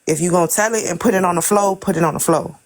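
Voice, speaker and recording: A woman's voice: on the low side, quick pace. A woman guest on a live stream, recounting events in an emphatic, storytelling way.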